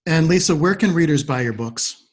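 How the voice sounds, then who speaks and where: A man's voice: on the high side, lively intonation, moderate pace, slightly rough. A man hosting a podcast, speaking in an interviewer's orderly, presentational style. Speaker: podcast host. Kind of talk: podcast interview and scripted sign-off.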